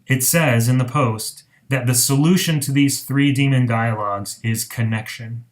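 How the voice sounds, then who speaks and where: Earnest male counselor, mid-pitched voice. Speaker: marriage counselor. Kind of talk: informal explainer talk to camera.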